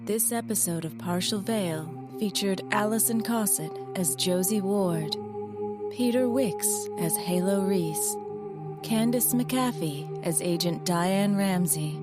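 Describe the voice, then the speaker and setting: A woman reading scripted closing credits as an announcer, measured and formal. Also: mid-range voice. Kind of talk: scripted narration. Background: loud new-age music.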